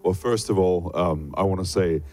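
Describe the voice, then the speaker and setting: A man's voice: low voice, normal speed. A man with an Australian accent, a native English speaker, preaching informally as a guest in short phrases at a church service. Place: church service over a microphone. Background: soft worship music.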